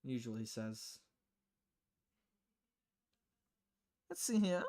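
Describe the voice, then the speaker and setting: A streamer voicing a polite, low-key young female bartender with a deadpan manner in a visual novel game. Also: normal speed, natural voice. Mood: calm, curious. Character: polite, dry-witted young woman bartender.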